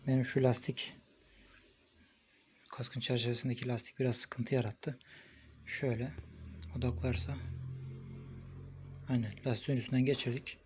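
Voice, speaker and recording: A man's voice: mid-range voice, a little mellow, a little hiss or hum. A man giving a casual, hands-on product review, thinking aloud informally as he records on a phone. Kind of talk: casual hands-on unboxing and installation commentary.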